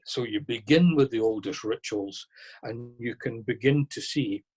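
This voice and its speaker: Husky guy on a video call, chatty and easygoing, restarts his sentences a lot. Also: medium pitch, measured pace, rough recording. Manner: relaxed, conversational, thinking aloud with restarts. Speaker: lecturer on masonic history. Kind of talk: online lecture Q&A.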